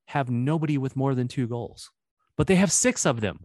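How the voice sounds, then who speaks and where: A man's voice: medium pitch, expressive, normal speed, darkish tone, dry recording, clean studio sound. A man with a Missouri accent hosting a sports podcast in a rambling, joking, conversational way. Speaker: podcast host. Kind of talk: casual sports podcast chat.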